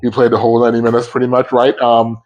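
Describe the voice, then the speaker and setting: A man co-hosting a football podcast, talking in an animated, casual way and often cutting across his co-host. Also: mid-range voice, rapid-fire, lo-fi sound.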